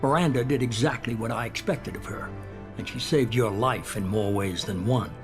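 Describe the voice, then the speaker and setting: A calculating, middle-aged spymaster from a sci-fi game , played by a male actor. He speaks with measured authority at a medium pitch, always persuading. Music runs clearly behind him. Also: close to an everyday voice. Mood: calm, commanding. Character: shadowy middle-aged male leader of a covert organization, calculating. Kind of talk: cinematic video game dialogue.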